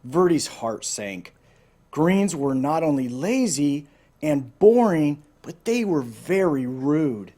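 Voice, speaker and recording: A man with a slightly high voice reads a children's storybook aloud. He presents it informally, as a storyteller talking directly to the listeners. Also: big pitch swings, takes it slowly, warm tone. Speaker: volunteer storyteller.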